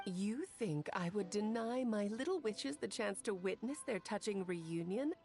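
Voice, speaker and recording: A woman's voice: mid-range voice, lively intonation, a little slow, a little mellow. A woman voicing a playful, theatrical witch mentor who talks in a grand, teasing and affectionate way, in video game dialogue. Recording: faint game music behind.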